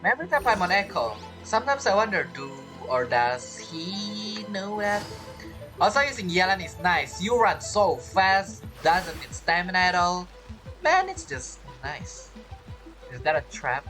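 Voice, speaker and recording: Woman gamer, casual commentary: lowish voice, animated intonation, rambling self-questioning, game music underneath. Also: a little slow, low-quality recording. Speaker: gamer, video creator.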